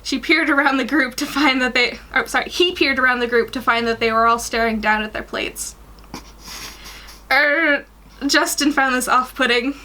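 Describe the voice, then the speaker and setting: Bright, high-voiced woman reading aloud. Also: normal speed. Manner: animated, stumbling over sentences. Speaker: guest story reader. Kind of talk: reading fan fiction aloud. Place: small attic bedroom.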